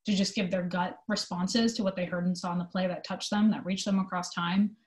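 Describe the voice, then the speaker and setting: Lowish woman's voice, echoey room, earnest and thoughtful, chatting thru an interview casually. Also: steady tone, brisk. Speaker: theater company manager being interviewed. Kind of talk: conversational interview answers.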